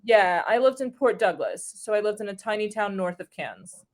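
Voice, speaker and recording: A woman's voice: medium pitch, animated, normal speed. A woman from New York City, chatting as an online English tutor in a friendly, easygoing way over a video call. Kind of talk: casual conversation.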